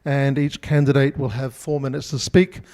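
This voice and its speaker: A man, hosting a community meeting and giving announcements haltingly, with frequent uhs. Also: medium pitch, little pitch movement, a little mellow. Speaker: meeting host. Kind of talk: announcements before speeches. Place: community hall meeting room.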